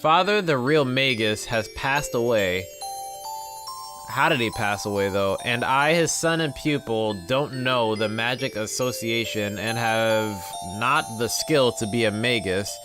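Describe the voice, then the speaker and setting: A man's voice: mid-range voice, measured pace, music in the background. A man hosting a gameplay video, reading visual novel text aloud and dropping in informal asides. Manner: informal, reacts to the text he reads.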